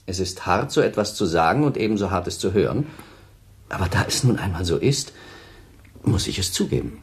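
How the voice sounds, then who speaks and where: A man's voice: low-pitched, sing-song, a little fast, gravelly, breathy edge, exaggerated voice. An actor playing a callous, self-pitying man of thirty in a German radio play, haughty, theatrical and dismissive. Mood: cold, unmoved. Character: cold, self-pitying thirty-year-old man, callous scornful former lover.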